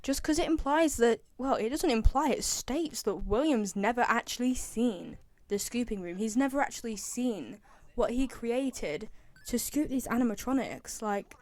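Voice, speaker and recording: A woman's voice: on the high side, animated, moderate pace, darkish tone, no room sound. A young woman with a British accent giving casual opinion commentary as a video host, often repeating herself for emphasis. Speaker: video host, game fan.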